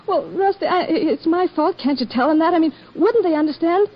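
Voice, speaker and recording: Hesitant high-voiced young woman. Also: fairly quick, a little hiss or hum. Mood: sheepish, pleading. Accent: American. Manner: hesitant, stammering. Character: lonely, apologetic, smitten young woman. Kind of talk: old-time radio drama acting. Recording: dull lo-fi old radio broadcast.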